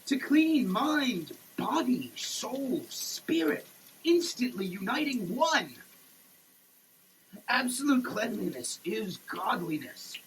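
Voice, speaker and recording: High-pitched theatrical man declaiming slowly. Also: very animated, a bit bright, slight background noise, low-quality recording. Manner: theatrical, preachy, emphatic pauses. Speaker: sketch performer. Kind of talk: dramatic reading of a soap label, declaimed like a sermon. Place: shower, per the page.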